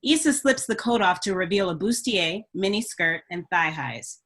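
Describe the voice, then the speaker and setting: A woman's voice: fairly low pitch, expressive, moderate pace, a bit bright, husky. A woman reading stage directions as scripted narration for a screenplay table read.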